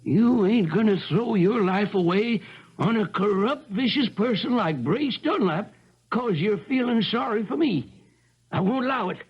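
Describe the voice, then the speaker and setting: Male for righteous old rustic uncle: very high, husky, scolding, wildly inflected, on muffled vintage radio. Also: animated, a little slow, heavily acted. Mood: furious, threatening. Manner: blunt, scolding, rustic speech. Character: stubborn, indignant, righteous old country uncle. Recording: muffled 1970s radio broadcast.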